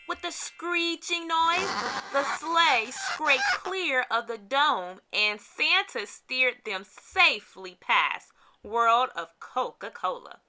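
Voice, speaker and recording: Female storybook reader for kids: very bright, animated pitch, dramatic emphasis. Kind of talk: read-aloud scripted narration for kids.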